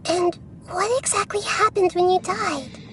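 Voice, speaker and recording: A woman voicing a young girl goddess who speaks in a soft, polite and earnest way in a game cutscene. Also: very high-pitched, unhurried, a crowd in the background. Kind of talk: video game cutscene dialogue. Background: game score music.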